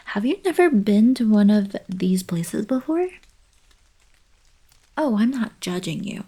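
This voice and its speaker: Woman acting soft, husky, slow-talking young alien princess. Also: mid-range voice, full character voice. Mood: playful teasing. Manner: soft, breathy, casual teasing delivery. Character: playful, teasing young alien princess, fond college girlfriend. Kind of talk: intimate ASMR roleplay, scripted monologue to the listener.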